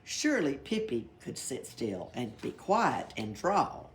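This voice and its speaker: Woman reader as brisk female schoolteacher, impatient, instructive, lively pitch, faint background noise. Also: full character voice. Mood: patient, exasperated. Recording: background noise, library read-aloud.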